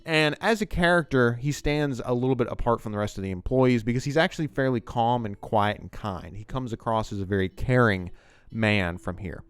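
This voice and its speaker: A man's voice: medium pitch, smooth, no echo. A man hosting a video essay, explaining things in a loose, conversational and emphatic way. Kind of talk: casual, unscripted explanatory commentary on TV writing.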